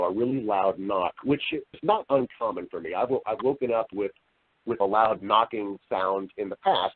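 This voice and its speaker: A middle-aged American man telling personal stories as an interview guest, speaking earnestly and emphatically, often repeating phrases as he goes. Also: on the low side. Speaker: podcast guest, voice-over artist and storyteller. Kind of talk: conversational interview storytelling. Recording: narrow-band, radio-like call audio.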